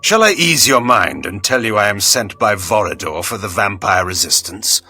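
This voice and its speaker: An actor voicing an arrogant, menacing vampire who speaks in a haughty, formal, commanding manner in a video game. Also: male voice, lowish voice, lively intonation, moderate pace, sharp tone, breathy edge, faint music behind, full character voice. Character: arrogant, menacing male vampire, imperious and threatening. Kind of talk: theatrical video game voice acting.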